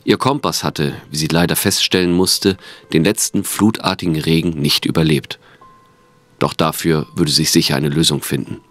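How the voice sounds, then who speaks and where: A man's voice: rumbling low, steady tone, moderate pace, fairly bright tone. A man reading scripted narration for an audio drama.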